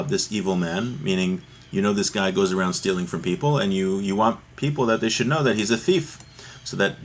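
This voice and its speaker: A man's voice: fairly low pitch, fairly bright tone. A man giving an informal class as a religious teacher, reading from a text and explaining it conversationally, restating points in plain everyday terms. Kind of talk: informal text study, reading aloud and explaining to a livestream audience.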